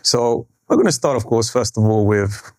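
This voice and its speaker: Male podcast host, conversational and halting. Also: mid-range voice, animated, darkish tone, no echo, clean studio sound. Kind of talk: relaxed conversational podcast hosting.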